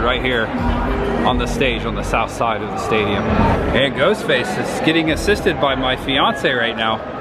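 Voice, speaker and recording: Excited man vlogging a crowded convention. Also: a little high. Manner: excited, chatty, exclaiming. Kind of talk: walking convention vlog. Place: large indoor convention hall. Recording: loud crowd and music behind.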